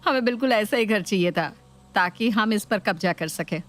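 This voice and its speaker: A woman's voice: mid-range voice, normal speed, lightly acted. A woman voicing a sly female tenant, a scheming and gossiping meddler, in a dramatised story. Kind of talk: dramatised Hindi story video.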